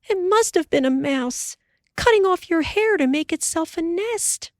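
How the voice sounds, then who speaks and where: A woman reading aloud who voices a calm, practical frontier mother in a raised, soft, sleepy voice. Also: very high voice, a little slow, no echo, studio quality, voice pushed up. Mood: alarmed. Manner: soft, sleepy, then alarmed.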